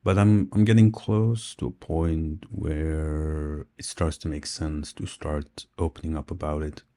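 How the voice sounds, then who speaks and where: Man, native Dutch speaker, low pitch, warm tone, casual solo journal, thinking aloud. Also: steady tone, measured pace. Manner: thinking aloud with fillers and restarts. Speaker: podcast host. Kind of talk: casual audio journal monologue.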